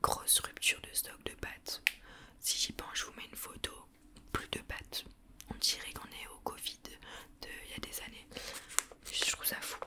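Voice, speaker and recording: A woman's voice: moderate pace, bright, forceful tone. A young woman whispering a casual grocery haul for ASMR, chatty and self-mocking with plenty of hesitations. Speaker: ASMR vlogger.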